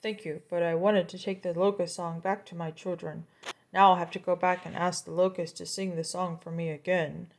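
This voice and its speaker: A woman's voice: lowish voice, level tone, moderate pace, darkish tone, lightly acted. A woman voicing an eager, forgetful male coyote in a children's story, pleading and grumbling by turns. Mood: exasperated.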